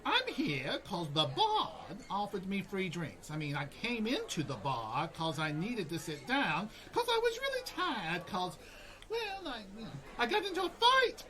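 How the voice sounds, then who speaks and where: A woman's voice: medium pitch, wildly swooping pitch, unhurried, fairly bright tone. A woman playing a hyperactive, scatterbrained little male sorcerer in a comedy radio play, giggly and rambling.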